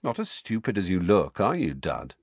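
A man's voice: low voice, huge pitch swings, moderate pace, no echo, heavily acted. A man voicing a sullen, mocking teenage boy who goads his cousin in an audiobook. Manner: taunting, sarcastic.

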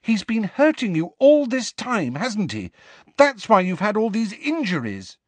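A man acts out an alarmed young girl from a storybook. The voice is pitched high and shrill, leaping about with indignant disbelief, in a dry close recording. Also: wildly swooping pitch, takes it slowly, a bit bright, dry recording, full character voice. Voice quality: shrill, breathy when alarmed. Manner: incredulous, exasperated questioning.

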